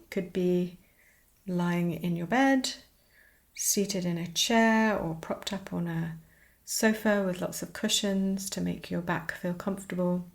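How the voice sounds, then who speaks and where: Slow-paced woman guiding meditation, creaky voice. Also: fairly low pitch, a little mellow. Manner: soothing, unhurried, pausing between short phrases. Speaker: yoga and meditation teacher. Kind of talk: guided meditation.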